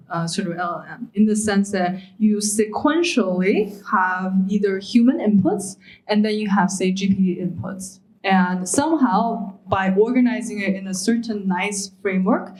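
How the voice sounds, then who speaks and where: Woman, audience member, polite, thinking aloud, fillers, mid pitch. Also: normal speed, a little mellow, low-quality recording. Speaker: audience member asking a question. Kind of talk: conference question.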